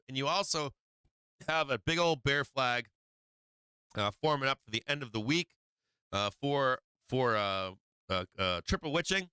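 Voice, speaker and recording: A man with a very bright, smooth voice, recorded close and dry with no room sound. He walks viewers through trading charts in a loose, rambling way, full of "right?" and fillers. His voice is a little hoarse. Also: mid-range voice, no room sound. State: losing his voice. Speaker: stock market live stream host. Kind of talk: casual live chart commentary.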